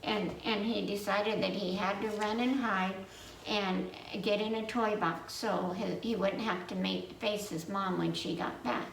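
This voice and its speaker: A woman tells a children's story at an unhurried pace, meandering as she goes. Her voice has some fry in it. She is recorded at a distance from the microphone in a roomy space. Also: medium pitch, steady tone. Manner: halting, meandering telling with dramatic questions to listeners. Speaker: library storyteller. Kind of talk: children's story time, told from memory. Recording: roomy, distant mic with faint noise.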